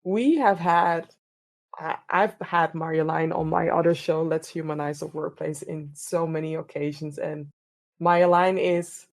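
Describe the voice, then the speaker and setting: Lady on a podcast, lowish voice, earnest and thoughtful, repeats herself a bit. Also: fairly even intonation, unhurried. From Netherlands. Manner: warm, earnest, repeats words while thinking. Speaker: podcast host and DEI coach. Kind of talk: reflective conversational interview answers.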